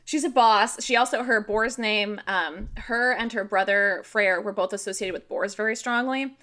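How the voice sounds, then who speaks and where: A woman with an American accent and a very bright, forward voice. She talks informally, like a podcast guest telling stories among friends, with loose phrasing and plain everyday words. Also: medium pitch, moderate pace. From rural Missouri, United States. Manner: informal, animated, frequent "like", sweary. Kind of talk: casual conversational storytelling and explaining.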